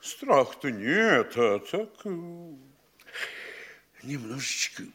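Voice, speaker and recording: An actor playing an anxious, scheming town mayor with a husky voice, in a stage radio play. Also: male voice, mid-range voice, sing-song, drawn-out words, a bit bright, full character voice. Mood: fretful. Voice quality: husky, fretful, conspiratorial.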